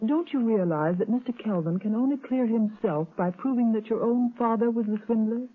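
A woman playing a sensible, probing detective's companion with a poised, husky voice in a 1930s radio drama. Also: on the high side, a little fast. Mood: pressing, sympathetic. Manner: poised, questioning. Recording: tinny 1930s radio recording.

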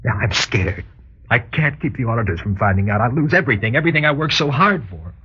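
Nervous, guilt-ridden husky-voiced husband. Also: male voice, mid-range voice, animated, sharp tone, full character voice. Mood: desperate, confessing. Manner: halting, stammering, tense delivery. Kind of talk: mid-century radio drama acting. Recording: dull, lo-fi old radio recording.

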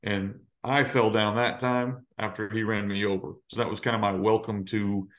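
Male coach guest, laid-back storyteller, lowish voice. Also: normal speed. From United States. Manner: relaxed, easygoing storyteller. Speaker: high school football coach, guest. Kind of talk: casual storytelling in interview.

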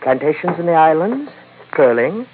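An actor playing a sly, courteous Chinese merchant crime boss in an old-time radio drama, speaking formally with polite menace. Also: male voice, on the high side, big pitch swings. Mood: smooth, businesslike. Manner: formal, elaborately polite, unhurried. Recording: noisy, tinny vintage radio recording.

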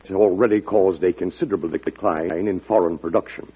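A grave government secretary in a classic radio play. His voice is low and very hoarse-edged, deferential, on a dull old recording. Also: fairly even intonation, fairly quick. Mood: grave, cautioning. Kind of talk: old-time radio drama acting.

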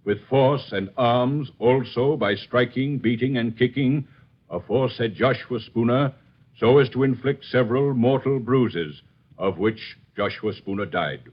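An actor playing a stern colonial court official, declaiming in solemn, formal tones in an old radio drama. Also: male voice, medium pitch, a little slow, slightly rough. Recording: dull, lo-fi old radio recording.

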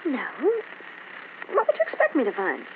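An actress playing a jealous, defensive young wife in an old time radio horror drama, on a noisy, tinny vintage recording. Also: female voice, mid-range voice, huge pitch swings, normal speed. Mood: brisk, dismissive. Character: jealous, defensive young wife, newly moved into an uneasy house.

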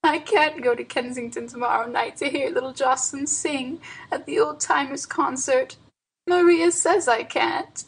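A woman voicing a frail, wistful old aunt in a story reading, with a husky, plaintive, pleading voice. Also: fairly high pitch, unhurried, low-quality recording. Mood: tearful, weary. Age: older.

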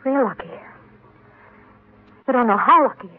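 A woman's voice: a little high, lively intonation, moderate pace. An American actress playing an anxious, suspicious young heiress in an old radio drama, earnest and pointed in her speech. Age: young adult. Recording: tinny, noisy old radio recording.

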